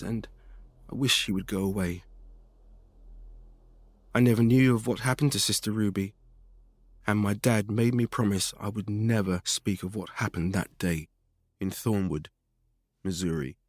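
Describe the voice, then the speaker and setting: A man reading a first-person horror story as scripted narration, in a measured, dramatic storytelling manner. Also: fairly low pitch, no room sound. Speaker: guest story reader.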